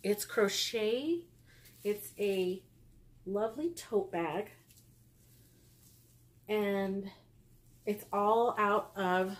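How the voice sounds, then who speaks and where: Stuffy-nosed woman chatting about knitting. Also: medium pitch. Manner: chatty, rambling, frequent ums and laughs. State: stuffy nose. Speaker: knitting podcast host. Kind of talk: casual chat to camera. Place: small room indoors at home.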